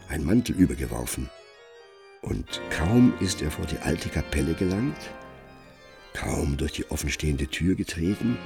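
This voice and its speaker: Very deep, creaky male narrator. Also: full character voice. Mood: solemn, suspenseful. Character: grave, brooding narrator of a Gothic literary tale. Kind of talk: dramatic literary narration in a radio play. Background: music.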